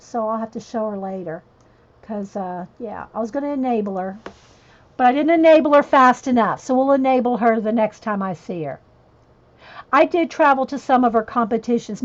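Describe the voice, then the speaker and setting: A woman chatting casually while she colors on a livestream, rambling and answering viewers as she goes. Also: medium pitch. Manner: chatty, rambling, reads chat messages aloud and answers viewers. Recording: dull lo-fi livestream audio.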